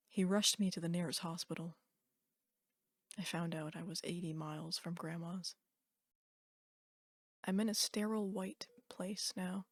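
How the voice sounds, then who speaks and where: A woman giving a dramatic reading of a first-person horror story in a breathy, whispery, deliberate voice. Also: on the low side, no room sound, studio quality.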